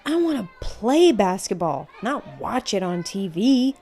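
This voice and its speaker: A woman's voice: medium pitch, very animated, a little slow, mellow, husky, exaggerated voice. A woman voicing an eager, determined nine-year-old boy in an earnest, pleading way for a storybook.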